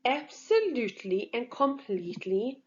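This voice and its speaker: A woman reading a children's story in an expressive, deliberate way for young listeners, on a slightly dull recording. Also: fairly high pitch.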